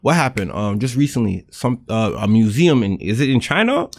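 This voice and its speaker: A man co-hosting a loose podcast chat, swearing freely and hammering the same point again and again. His voice sits at an ordinary male pitch. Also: big pitch swings, normal speed, a little mellow. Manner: blunt, profane, repeats himself. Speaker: podcast co-host.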